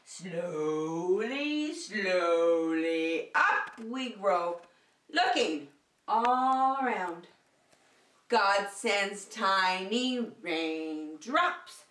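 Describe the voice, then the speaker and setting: Slow-paced woman teaching young children. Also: mid-range voice. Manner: animated, encouraging, simple short phrases with repetition. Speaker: children's circle-time teacher. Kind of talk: preschool lesson addressed to young children. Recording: roomy echo, distant microphone.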